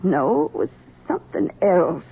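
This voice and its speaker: A woman's voice: lowish voice, big pitch swings, measured pace, breathy edge, exaggerated voice. An older actress playing a bitter, sardonic old mother with dry, brooding delivery in a vintage radio drama. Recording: muffled vintage radio broadcast recording.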